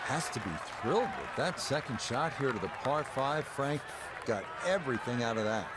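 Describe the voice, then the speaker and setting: A man playing a polished TV golf play-by-play announcer, giving measured, descriptive sports commentary in a video game. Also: mid-range voice, lively intonation, a loud crowd, heavy background noise, lightly acted. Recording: background music under the voice.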